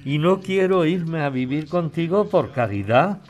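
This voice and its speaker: A man's voice: on the high side, expressive, measured pace, darkish tone. A man acting an older, old-fashioned, devoted suitor from in a podcast drama, earnest and a little hesitant. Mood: earnest, tender. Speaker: actor in an audio drama.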